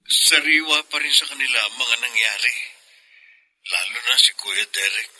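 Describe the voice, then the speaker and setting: An actor playing an apologetic, respectful go-between in a Filipino radio drama. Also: male voice, mid-range voice, normal speed, pushed and bright, poor audio. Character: apologetic, respectful brother-in-law acting as go-between.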